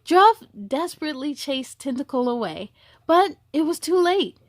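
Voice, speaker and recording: A woman's voice: high-pitched, expressive, moderate pace, dry recording, studio quality. A woman hosting a storytime, reading a children's book aloud expressively with chatty, encouraging asides.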